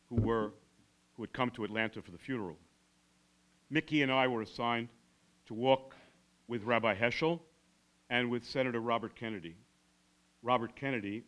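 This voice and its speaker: A man's voice: on the low side, fairly bright tone. Elderly American man telling a story. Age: about 80. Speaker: keynote speaker. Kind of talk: storytelling keynote speech. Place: large classroom before a seated audience. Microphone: podium microphone.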